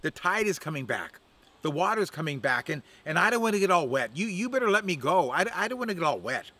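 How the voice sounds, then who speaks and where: Man putting on a brash bragging crow, loud and high, pushy, storybook trickster. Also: lively intonation, brisk. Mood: alarmed. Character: boastful talkative male crow, loud and cocky.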